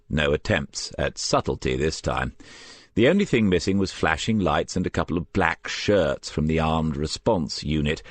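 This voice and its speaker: A man narrating a crime novel as an audiobook, in a measured and composed storytelling manner. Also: rumbling low, no room sound. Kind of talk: scripted crime fiction narration.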